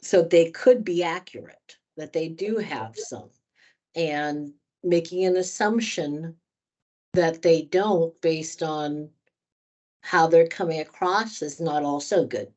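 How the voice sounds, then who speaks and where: A woman's voice: low-pitched, slow pace, darkish tone. A woman trainer answering questions in a conversational workshop, candid and thoughtful, speaking at length. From United States.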